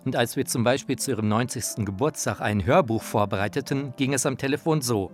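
A man's voice: medium pitch, brisk, faint music behind. A man narrating a radio feature with personal anecdotes in a scripted style.

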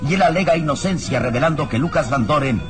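A man's voice: fairly high pitch, expressive, fast talker. Solemn male radio serial narrator over music.

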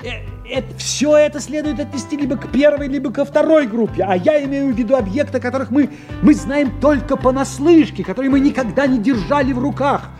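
A man's voice: very high voice, fairly quick, few pauses, darkish tone, soft background music. A man acting in a radio drama as a tipsy, learned scientist who lectures emphatically, stammering and repeating himself. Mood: insistent, explaining. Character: excitable, tipsy, learned male scientist explaining mysteries.

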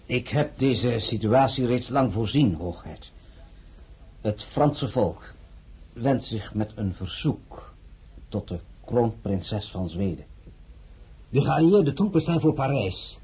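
An actor playing a government envoy in a radio play, who speaks in a formal, stiff and deliberate way. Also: male voice, medium pitch, expressive, warm tone, slightly rough, heavily acted.

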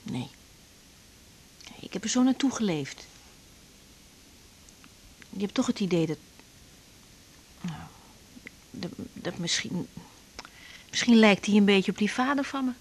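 A woman's voice: medium pitch, expressive, slow, long pauses, breathy edge, low-quality recording. An actress playing a blunt, emotional young woman doctor who is hot-tempered and hurt, in a naturalistic radio drama. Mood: ashamed, downcast.

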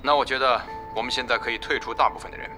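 An actor playing a scheming, forceful male guild leader in an audio drama. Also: medium pitch, steady tone, very fast, music in the background, close to an everyday voice. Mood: shrewd.

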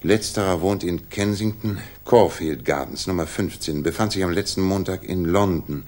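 An actor playing a confident, commanding male detective in a 1960s German radio play, with a husky voice. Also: low voice, normal speed, darkish tone. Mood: intent. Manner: commanding, probing, self-assured.

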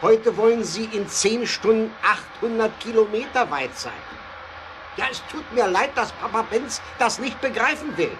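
An impatient business director in a radio drama, high in pitch and swinging widely as he presses his case. He speechifies insistently over clearly noisy background ambience. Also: lively intonation, moderate pace. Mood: urgent, insistent. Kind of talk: theatrical radio play acting.

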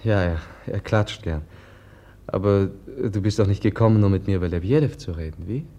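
An actor playing a gentle, earnest young prince in a 1950s radio play, speaking mildly. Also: male voice, fairly low pitch, normal speed, muffled recording.

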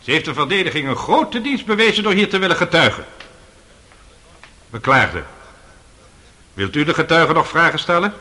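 Stern presiding judge, bright forceful voice. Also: male voice, fairly high pitch, big pitch swings, moderate pace, pauses often, exaggerated voice. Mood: reproving. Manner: authoritative, formal. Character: stern, formal male presiding judge in a courtroom. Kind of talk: radio drama acting.

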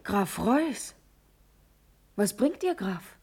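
Slow-spoken, passionate young princess. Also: female voice, mid-range voice, big pitch swings, warm tone, breathy edge, heavily acted. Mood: grave, resolved. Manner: declamatory, solemn, measured. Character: noble young princess, passionate, pleading, proud and eloquent. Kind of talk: classical German verse radio drama acting.

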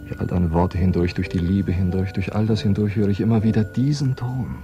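An actor playing an infatuated, anxious clerk in a German radio play, hushed and dreamy in an intimate way. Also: male voice, deep voice, fairly even intonation, brisk, close to an everyday voice. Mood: haunted, anxious. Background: guitar music.

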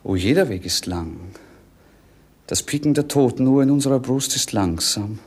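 An actor playing a dreamy, world-weary young prince, declaiming poetically and languidly in 1950s radio drama. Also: male voice, mid-range voice, lively intonation, normal speed, darkish tone, breathy edge.

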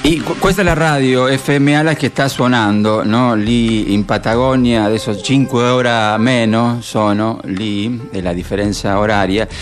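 A man's voice: medium pitch, unhurried, hardly any pauses. A man from Argentina with an Argentine Spanish accent, hosting radio informally and searching for his words as he introduces a programme. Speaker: radio host. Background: music playing under the voice.

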